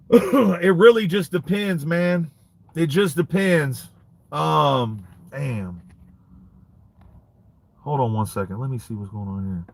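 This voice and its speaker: A man's voice: on the high side, expressive, slow, creaky, pauses often. Laid-back man chatting on a livestream. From United States. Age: 30s. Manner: laid-back street slang, frequent "man" and "you know". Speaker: dog breeder hosting a livestream. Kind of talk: casual livestream chat reading comments.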